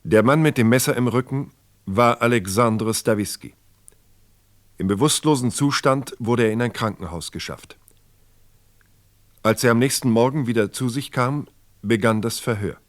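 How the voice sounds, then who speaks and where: A man's voice: mid-range voice, moderate pace, pauses often, dry recording. A man narrating a true-crime radio play from a script.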